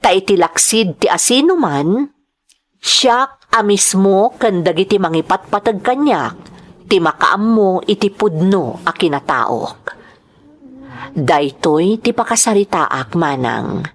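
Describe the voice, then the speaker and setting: A woman's voice: mid-range voice, lively intonation, slow. A woman acting an emotional, wronged wife and mother in a radio drama, with an Ilocano accent. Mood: sorrowful, resolute. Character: distressed wife and mother, wronged by her husband. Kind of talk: radio drama acting and first-person narration.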